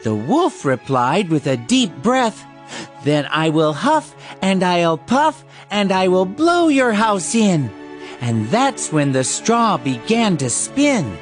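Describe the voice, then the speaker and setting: A woman giving scripted rhyming storybook narration in a measured storytelling way. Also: fairly low pitch, very animated, soft background music.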